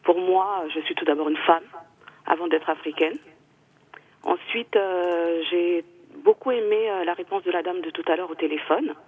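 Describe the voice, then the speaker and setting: A woman in her thirties calling in to a radio show, talking earnestly and hesitantly, with many pauses. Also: fairly low pitch. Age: 30s. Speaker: caller. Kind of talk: radio call-in comment. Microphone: telephone. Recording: phone line.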